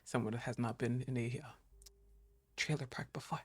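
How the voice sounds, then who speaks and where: A young woman with a low, dark-toned voice chats through a game stream. She is playful, laughs a lot and swears freely. Her talk is loose and casual. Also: fairly even intonation, normal speed, slightly rough.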